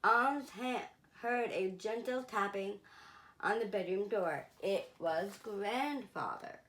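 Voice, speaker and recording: A woman reading a children's story aloud in a deliberate, measured way, with pauses between phrases. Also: mid-range voice, a bit bright, echoey room.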